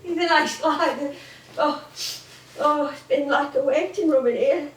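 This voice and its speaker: A British actress playing an ageing, confused music hall comedienne in an emotional stage drama. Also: female voice, high-pitched, fairly even intonation, a little slow. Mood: wistful. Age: older. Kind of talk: theatrical stage acting. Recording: echoey theatre stage, distant mic.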